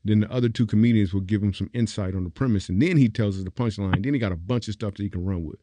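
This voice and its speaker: Low-voiced man hosting a comedy podcast. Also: rapid-fire, warm tone, dry recording. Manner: laughing, playful teasing. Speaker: podcast host. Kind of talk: casual comedy podcast banter.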